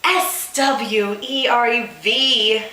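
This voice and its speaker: A lovesick, sassy teenage girl played by a woman reader in a comic story. Her voice is bright and high, pouty and overwrought, stretching words for drama. The room is echoey. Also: steady tone, extremely slow, exaggerated voice.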